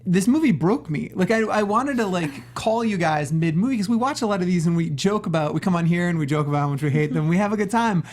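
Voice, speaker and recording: A man co-hosting a casual film review, rambling sarcastically with animated asides. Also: high-pitched, fast talker, hardly any pauses. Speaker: co-host.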